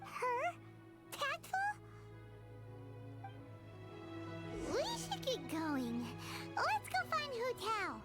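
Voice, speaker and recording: A woman voicing a tiny, cranky, childlike fairy companion with a squeaky voice in a video game. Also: wildly swooping pitch, slow, music in the background, heavily acted. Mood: exasperated. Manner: indignant, refers to self in third person. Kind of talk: video game character acting.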